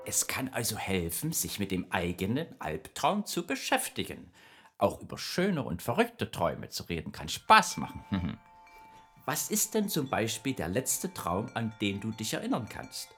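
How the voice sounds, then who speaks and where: A man's voice: lowish voice, wildly swooping pitch, unhurried, fairly bright tone, soft background music, exaggerated voice. A man voicing a friendly, chatty nightmare spirit who patiently explains dreams in a children's radio play. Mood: explaining. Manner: explains patiently, evasive then informative.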